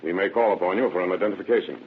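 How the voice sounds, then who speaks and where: An actor playing a confident, dogged sheriff in an old radio drama, firm and matter-of-fact. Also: male voice, low-pitched, very fast, husky. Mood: confident, brisk. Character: confident, dogged male sheriff, brisk and authoritative. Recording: tinny narrow-band vintage radio recording.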